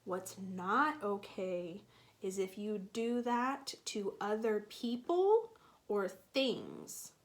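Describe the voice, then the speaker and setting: A woman with a medium-pitched voice reads a picture book aloud to young children at a slow pace. She talks like a teacher, stopping to ask simple questions and patiently explaining, with pauses left for answers. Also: expressive.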